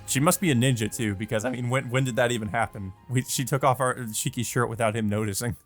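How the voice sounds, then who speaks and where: A man's voice: mid-range voice, fairly even intonation, fast, soft background music. A man hosting a let's play, reading a visual novel aloud with casual, amused, sometimes profane commentary.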